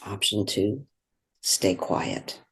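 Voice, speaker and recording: A meditation guide speaking in a gentle, soothing, unhurried way, giving slow instructions with long pauses. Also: deep voice, very animated, vocal fry, husky.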